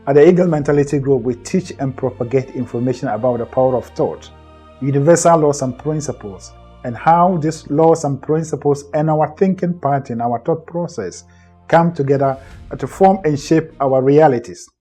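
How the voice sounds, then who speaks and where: A man's voice: on the high side, moderate pace, dark tone, breathy edge, soft background music. A middle-aged man hosting a motivational talk, earnest and preacherly, often repeating and rephrasing his points. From Ghana. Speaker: host and co-founder of a mindset group. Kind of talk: motivational lecture to camera.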